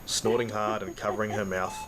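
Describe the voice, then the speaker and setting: An actor playing a badly injured, weary man, narrating his ordeal in halting fragments over heavy noise in an audio drama. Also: lowish voice, flat delivery, close to an everyday voice. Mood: sorrowful, tender. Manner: halting, broken into short fragments. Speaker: narrator and lead character. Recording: loud noise behind the voice.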